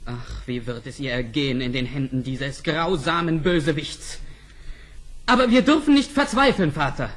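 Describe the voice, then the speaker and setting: Devoted young storybook prince, animated, high and impassioned, radio-play declamation. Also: male voice, normal speed. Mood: anguished, resolute. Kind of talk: vintage fairy-tale radio play acting.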